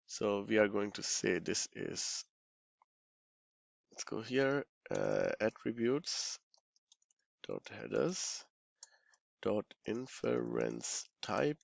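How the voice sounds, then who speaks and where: A man giving an informal software walkthrough, halting and thinking aloud, often murmuring to himself as he works. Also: lowish voice, huge pitch swings, creaky, dry recording. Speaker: software tutorial instructor.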